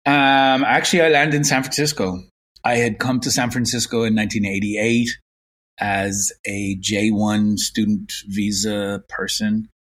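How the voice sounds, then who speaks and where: Irish guy in his 50s, limerick accent, kinda husky, reflective storytelling vibe. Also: fairly low pitch, fairly even intonation, measured pace, a bit bright. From Ireland. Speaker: guest. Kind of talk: casual interview.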